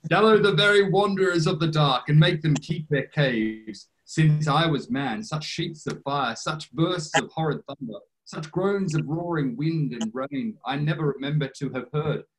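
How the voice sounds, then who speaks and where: A man's voice: fairly high pitch, measured pace, hardly any pauses, slight background noise, natural voice, low-quality recording. A man reading the part of a loyal, worried nobleman, earnest and urging. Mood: awed. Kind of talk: play read-through.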